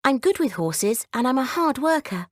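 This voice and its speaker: A woman's voice: high-pitched, very animated, normal speed, no room sound, studio quality, voice pushed up. A woman voicing an eager, earnest young horse-loving girl in a children's storybook. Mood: eager, hopeful.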